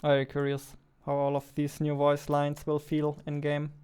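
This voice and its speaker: A young man talks through his coding session at a desk, half-distracted and muttering as he works. His voice is very smooth and clear. He keeps his pitch flat, with little lift or fall. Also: moderate pace. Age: young adult. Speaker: indie game developer. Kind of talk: thinking aloud while coding. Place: small room at a computer desk.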